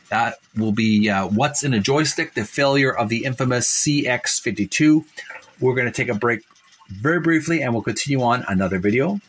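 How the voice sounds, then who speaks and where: A man hosting a retro gaming channel, reading aloud from a book in deliberate, halting phrases and adding casual, folksy commentary. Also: medium pitch.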